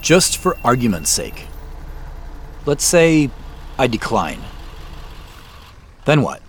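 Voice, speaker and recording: An actor playing a thoughtful, wry and slightly weary business leader in a naturalistic audio drama, speaking in a low-key, halting way. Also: male voice, huge pitch swings, a little hiss or hum. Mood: wry, wary.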